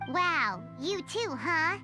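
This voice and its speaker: A woman voicing a tiny, chatty, excitable fairy companion in a video game, with a squeaky childlike voice. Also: slow pace, full character voice. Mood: surprised, nosy. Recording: music clearly behind the voice.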